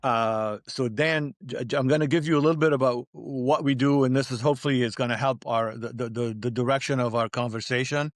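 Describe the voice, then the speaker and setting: A male podcast host from Lebanon talks conversationally with a guest and audience. His delivery is halting, with repeated words, at an ordinary male pitch. The recording is dry, with little room sound. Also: steady tone, no echo. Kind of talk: conversational interview introduction.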